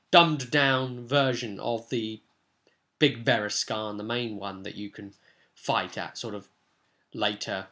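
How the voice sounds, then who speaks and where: A man with a mid-pitched voice talks informally as a game master recapping a tabletop session. His delivery is conversational and rambling, with sudden stresses on certain words. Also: bright, forceful tone. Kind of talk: informal vlog recap and advice on a tabletop role-playing session.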